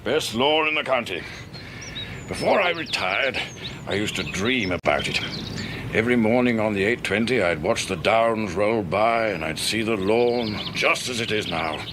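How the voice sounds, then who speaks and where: A man's voice: on the low side, expressive, moderate pace, background noise, natural voice. An actor playing a fussy, irascible retired British man in a radio drama, blustering and grumbling. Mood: proud, fussy. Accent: British English. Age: older. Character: fussy, irascible retired husband obsessed with his lawn.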